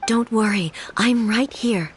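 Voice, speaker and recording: A woman playing a calm, regal female ruler in a video game, speaking formally and with solemn authority. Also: on the high side, slow, a little hiss or hum. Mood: calm, reassuring. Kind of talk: video game character acting.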